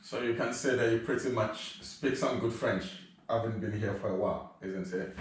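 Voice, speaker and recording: Host guy asking questions, chill and friendly, kinda deep, roomy echo. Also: fairly even intonation, normal speed. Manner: friendly, casual. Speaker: interviewer. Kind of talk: casual interview questions. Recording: echoey room.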